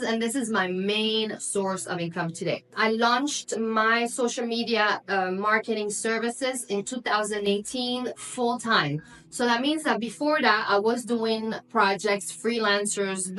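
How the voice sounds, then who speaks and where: A woman's voice: mid-range voice, a bit bright, poor audio. A woman in her thirties, raised in Europe after an early childhood in North Africa and not a native English speaker, talking straight to camera in an upbeat, conversational vlog with frequent fillers. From Morocco, raised in Belgium. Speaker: vlogger, entrepreneur. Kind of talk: casual direct-to-camera vlog.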